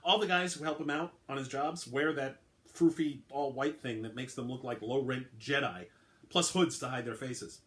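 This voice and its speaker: A man's voice: mid-range voice, normal speed, fairly bright tone, lightly acted. A man reading a dry, weary woman detective. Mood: sardonic. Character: tired, coffee-starved woman homicide detective.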